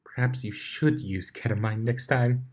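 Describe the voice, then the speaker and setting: A man's voice: mid-range voice, steady tone, measured pace, slight background noise, lightly acted. A man voicing a genteel, teasing female unicorn who loves gossip and drama, in a playful, affected way for a fan fiction reading.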